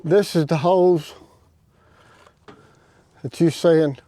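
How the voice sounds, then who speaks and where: A man's voice: fairly high pitch, drawn-out words, dark tone. A man casually narrating outdoors as he works on his property, hosting his own vlog. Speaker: vlog host doing outdoor property work. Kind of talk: casual narration while working.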